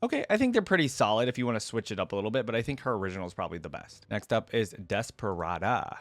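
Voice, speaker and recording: A man's voice: fairly low pitch, big pitch swings, rapid-fire, no room sound, soft background music. A man hosting a reaction video, giving casual, informal commentary and speaking directly to his viewers. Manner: informal, filler-laden, addresses viewers directly.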